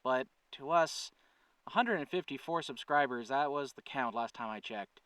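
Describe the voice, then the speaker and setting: A man with a smooth, resonant voice talks casually to his viewers as the channel's host. He is earnest and conversational, working out his sentences as he goes. The sound is dry with little room, and he sits a little away from the mic. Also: medium pitch, animated, moderate pace, a bit bright, no echo, recorded from a distance. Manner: earnest, conversational, thinking aloud with self-corrections. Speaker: channel creator and host, writer-producer. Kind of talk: casual update talk to viewers.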